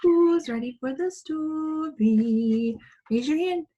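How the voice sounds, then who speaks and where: A woman's voice: sits high, slow pace, dark tone, highs cut off, slight background noise. A woman teacher giving an encouraging, instructional online lesson to young pupils. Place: online screen-share session.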